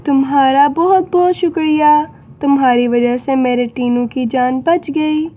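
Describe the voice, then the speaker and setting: A woman's voice: sits high, fairly even intonation, unhurried, mellow. A woman voicing a kindly, caring human grandmother in a cartoon story. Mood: grateful. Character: kindly human grandmother raising a bird chick.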